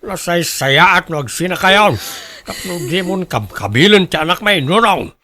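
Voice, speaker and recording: A man acts out an older father in a radio drama, indignant and protective. His voice is high and sharp, swinging widely in pitch as he presses each point with emphatic insistence. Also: animated, normal speed. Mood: stern, angry.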